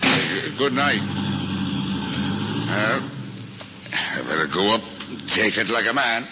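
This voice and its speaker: An actor playing a big-hearted, bumbling American cab driver, folksy and stammering when flustered, in an old screen comedy-drama. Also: male voice, lowish voice, expressive, takes it slowly. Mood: hesitant, glum. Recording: muffled old film soundtrack, clear hiss.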